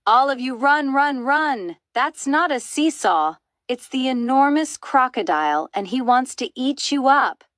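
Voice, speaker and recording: Woman playing storybook monkey, protective, urgent, exclaiming, raised pitch. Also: lively intonation, a little slow, hardly any pauses, a bit bright, no echo, clean studio sound. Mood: alarmed. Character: bold, protective monkey shouting alarm.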